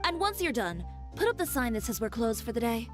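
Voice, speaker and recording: A woman's voice: on the high side, big pitch swings, fairly quick, over music. A woman playing a proud, blunt young fashion designer in a video game, assertive and self-assured. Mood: brisk, composed.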